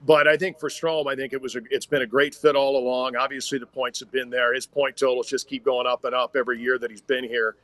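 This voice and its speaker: A man who works as a sports announcer, speaking as a guest in conversational analysis, reasoning aloud in measured, hesitant phrases. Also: medium pitch, level tone, a bit bright, dry recording.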